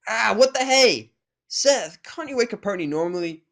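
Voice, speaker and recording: Man putting on a brash, high-pitched pony girl. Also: normal speed, gravelly, full character voice. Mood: indignant, restless. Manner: cocky, loud, energetic. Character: brash, boastful young female cartoon pegasus pony.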